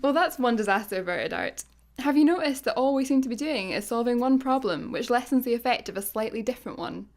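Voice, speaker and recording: An actress playing a brisk, exasperated space satellite worker in a sci-fi comedy radio play, blunt and no-nonsense. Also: female voice, fairly high pitch, animated, darkish tone, soft background music. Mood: weary.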